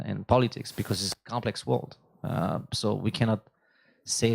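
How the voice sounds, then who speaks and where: A man's voice: lowish voice, creaky, a little mellow. A man speaking on a conference panel, talking in a hesitant way with repeated words and restarts. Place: conference stage.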